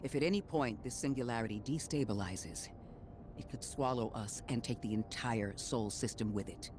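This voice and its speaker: A man's voice: mid-range voice, normal speed, noisy background, lightly acted. An actor playing an earnest, scholarly male mission guide in a video game, articulate, analytical and urgent. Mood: grave, concerned. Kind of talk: scripted video game dialogue.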